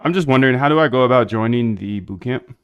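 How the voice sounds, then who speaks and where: A man's voice: mid-range voice, fast talker, smooth, dull audio. A man talking casually and informally, thinking aloud as a developer while he works. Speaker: developer hosting a coding video. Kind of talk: casual talk while working and testing.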